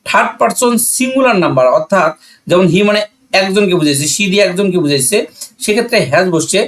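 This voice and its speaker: A man's voice: high-pitched, lively intonation, fast talker, fairly bright tone. A man with a Bangladeshi accent teaching a grammar lesson, asking and answering his own questions and repeating key points. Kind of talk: classroom-style grammar lesson explanation.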